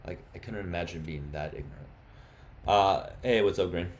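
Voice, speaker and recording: Low-voiced man hosting a live stream. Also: normal speed. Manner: chatty, turns heated and insistent in arguments. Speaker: livestream host. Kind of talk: casual live-stream chat and debate.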